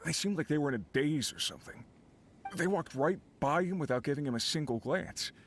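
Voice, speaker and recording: An actor playing an easygoing but uneasy miner who leads a crew, speaking in an earnest, conversational, explanatory way as video game dialogue. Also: male voice, a little high, lively intonation, a little fast, slight background noise. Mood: uneasy, puzzled. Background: game background music.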